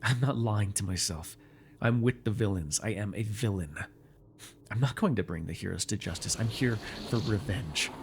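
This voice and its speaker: A man playing a controlling, vengeful supervillain in an immersive ASMR roleplay, taunting and giving orders in a deliberate, mocking way. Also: lowish voice, creaky, a little hiss or hum. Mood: controlled, commanding. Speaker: voice actor.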